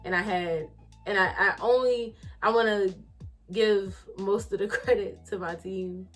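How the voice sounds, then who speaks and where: A woman in her late twenties from Philadelphia with a Philly accent, hosting a casual chat in a blunt, profane, animated way and laughing often. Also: mid-range voice, a little slow, soft background music. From Philadelphia, USA. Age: 20s. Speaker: podcast host.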